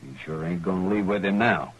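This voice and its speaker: Low-voiced bitter frontier farmer played by an actor. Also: male voice, brisk, close to an everyday voice. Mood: menacing. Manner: rustic ungrammatical drawl, accusing. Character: bitter, hot-tempered frontier farmer husband, rough man. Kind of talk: 1950s Western radio drama acting. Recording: noisy vintage radio recording.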